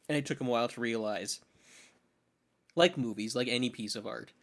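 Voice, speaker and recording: A man's voice: mid-range voice, fairly quick, fairly bright tone. Casual man co-hosting a podcast. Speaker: podcast co-host.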